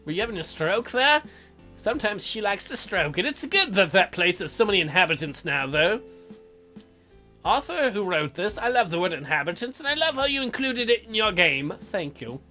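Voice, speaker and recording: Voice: medium pitch, big pitch swings, normal speed, pushed and bright, faint music behind. A let's play host reading a game aloud and commenting with playful, teasing asides. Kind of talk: gameplay commentary with dramatic read-aloud.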